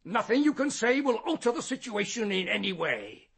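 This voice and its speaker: Gravelly, high-strained, bullying English headmaster. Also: male voice, big pitch swings, moderate pace, fairly bright tone, full character voice. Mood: outraged, scornful. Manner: domineering, clipped, indignant. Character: outraged, overbearing bullying male English boys' school headmaster. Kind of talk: radio drama dialogue.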